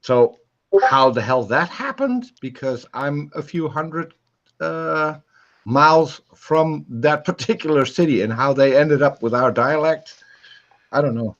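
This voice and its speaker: Slow-talking man. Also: a little high. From Netherlands. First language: Dutch. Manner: hesitant, many uhs and repeated starts. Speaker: guest in a live chat. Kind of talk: casual live-stream chat. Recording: dull lo-fi stream audio.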